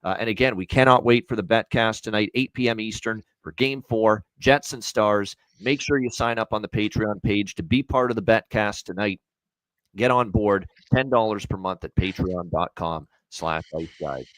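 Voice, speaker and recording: A man's voice: lowish voice, normal speed, a bit bright, dry recording, poor audio. A man hosting a sports betting show, going over picks and plugging the show in a chatty, upbeat way.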